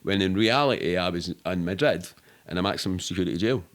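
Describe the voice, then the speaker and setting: A man's voice: low-pitched, fast talker. A middle-aged man with a Glaswegian Scottish accent, telling anecdotes casually in a candid, rambling, sweary way. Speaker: podcast guest.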